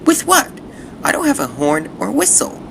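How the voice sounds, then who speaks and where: A man's voice: high voice, wildly swooping pitch, moderate pace, vocal fry, background noise, heavily acted. A man playing an earnest, wistful little sailboat in an amateur audio drama, speaking expressively and politely. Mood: unsure.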